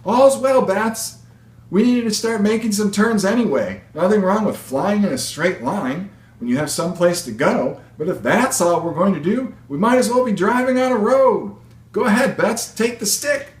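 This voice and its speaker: A man voicing an exuberant, encouraging woman pilot in a storybook read-aloud, with a raised, high-pitched voice. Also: lively intonation, moderate pace. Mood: cheerful, encouraging. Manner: breezy, encouraging. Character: exuberant woman pilot cheering on her young passenger. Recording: roomy echo, noticeable hum.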